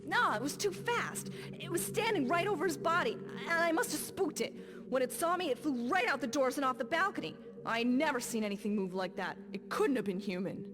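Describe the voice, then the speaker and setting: A woman's voice: high-pitched, animated, fairly quick, over music. An actress playing a rattled female guard who speaks in an agitated, emphatic way. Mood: shaken, uneasy. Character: rattled female guard, shaken witness in a video game.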